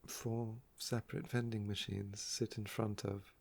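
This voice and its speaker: A soft-spoken man with a croaky voice, telling stories about his day in an unhurried, wistful ASMR ramble. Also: fairly low pitch, little pitch movement. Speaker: ASMR video creator.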